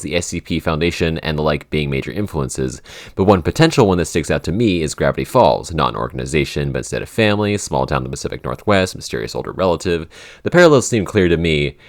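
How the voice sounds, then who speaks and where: A man's voice: very deep voice, dry recording, clean studio sound. A man hosting a casual podcast Q&A, talking in a rambling, informal way. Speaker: podcast host and show's writer. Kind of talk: casual Q&A chat.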